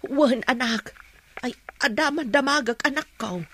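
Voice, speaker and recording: A woman's voice: high-pitched, a little slow, a bit bright, slight background noise. A woman playing a concerned mother of a grown son in a radio drama, sympathetic and exclaiming. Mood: sad, worried. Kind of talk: melodramatic radio drama acting.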